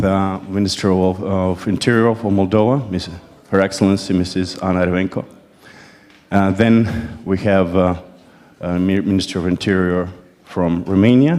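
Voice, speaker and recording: A man with a Croatian accent chairs a conference panel, giving formal opening remarks in a halting, hesitant way and often repeating words. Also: low voice, darkish tone. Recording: echoey hall with long reverb.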